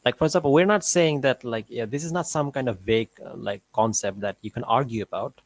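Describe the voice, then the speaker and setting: A man's voice: medium pitch, fast talker. A man hosting a live show, giving casual debate commentary peppered with "like" as he explains. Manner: filler-heavy, often says "like".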